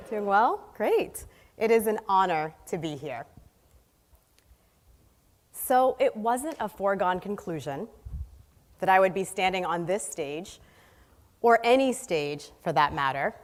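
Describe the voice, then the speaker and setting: A woman born in Haiti giving an earnest, storytelling keynote speech on stage, listing her points emphatically. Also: mid-range voice. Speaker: guest speaker. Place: large hall on stage. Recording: long hall reverb.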